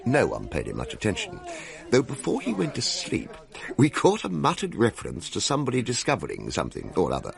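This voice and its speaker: An actor playing a wry, droll Victorian gentleman who narrates a radio drama of ghost tales, with a gravelly voice. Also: medium pitch, wildly swooping pitch, fairly quick, exaggerated voice. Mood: dry amusement.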